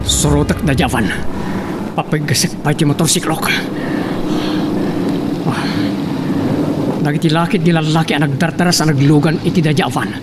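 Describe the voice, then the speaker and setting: A man's voice: on the high side, steady tone, moderate pace, pauses often, lightly acted. Filipino action hero over roaring engine man acting. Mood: determined. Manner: urgent self-talk. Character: determined male motorcyclist hero in pursuit. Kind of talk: radio drama monologue in Ilocano. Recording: loud motorcycle engine noise.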